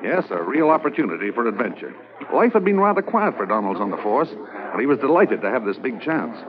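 A man narrates an old police case in a relaxed, reminiscing way. His pitch moves with lively inflection. His voice is dull and lo-fi, like an old radio transcription. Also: fairly quick, a crowd in the background. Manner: conversational, reminiscing. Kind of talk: 1950s radio drama narration. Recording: muffled, lo-fi 1950s radio transcription.

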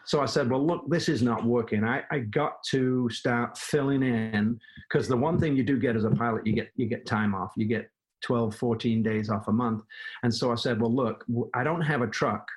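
A man's voice: mid-range voice, moderate pace, rough recording. An older man from England with a Manchester accent, a guest telling his story at length in a casual interview, with many fillers and restarts. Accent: Manchester English. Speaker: guest, former plumbing business owner.

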